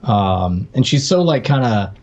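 A man's voice: on the low side, lively intonation, measured pace, darkish tone. A man co-hosting a podcast, chatting casually and informally as he reviews a television show. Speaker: podcast co-host. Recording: dull lo-fi microphone sound.

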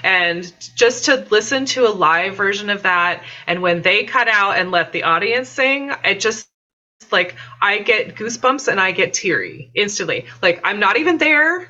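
Bright, effusive woman in her fifties. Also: medium pitch, lively intonation, moderate pace, lo-fi sound. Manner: effusive, heartfelt, lots of "like" and "um". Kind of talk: casual interview chat.

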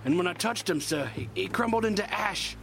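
An actor playing a nervous young deckhand, hesitant, stammering and respectful, in a seafaring audio drama. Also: male voice, sits high, animated, brisk, vocal fry, noisy background. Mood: shaken, deferential.

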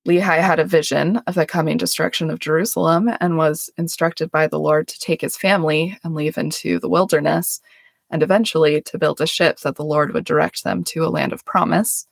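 A woman's voice: sits low, steady tone, fairly quick, creaky, slightly rough, dry recording. A woman hosting a podcast, explaining her faith earnestly in a casual way, with a self-deprecating, laughing manner. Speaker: podcast host.

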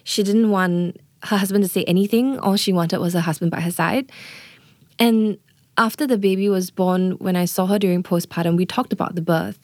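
A woman's voice: mid-range voice, moderate pace, no echo, clean studio sound. A woman working as a doula, explaining birth in an earnest interview manner and often checking in with "right?" and "you know". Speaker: doula, guest.

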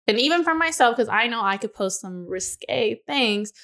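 A woman's voice: on the high side, lively intonation, a bit bright. A woman in her twenties chatting candidly on a podcast, musing aloud with lots of "you know" and "like". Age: 20s. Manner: candid, confessional, drawn-out thoughts with frequent "you know". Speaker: podcast co-host. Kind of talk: casual podcast chat.

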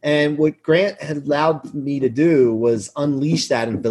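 A man in his forties speaks as a podcast guest with real conviction. His voice is rough-edged and sits a little higher than average. He is self-assured and earnest, stressing his points as he tells motivational business stories. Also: steady tone, unhurried, a little mellow. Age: 40s. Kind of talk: motivational interview talk, anecdotes.

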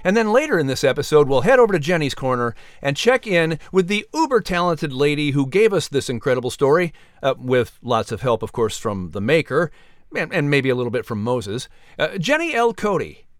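A man's voice: fairly high pitch, sing-song, fairly quick, dry recording, clean studio sound. A man announcing and narrating a scripted story podcast.

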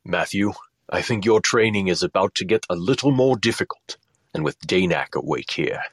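A man playing a calm, grave, encouraging mentor in an audio drama, speaking formally and with measure. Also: low-pitched, normal speed, husky, dry recording. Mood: wry, grave.

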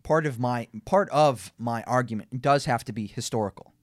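A man's voice: mid-range voice, moderate pace, no room sound, clean studio sound. A man hosting a debate podcast, laying out his argument emphatically step by step with frequent restarts. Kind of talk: debate conversation.

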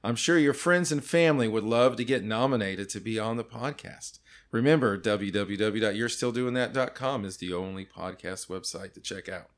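A man's voice: fairly low pitch, moderate pace. A man in his forties hosting a casual podcast, joking loosely and laughing often as he leads the talk. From California. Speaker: podcast host.

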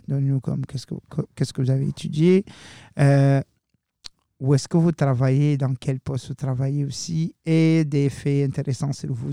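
A man's voice: mid-range voice, vocal fry, warm tone, no echo, clean studio sound. A young man hosting a student radio show, talking loosely and casually and slipping in English words. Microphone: studio microphone.

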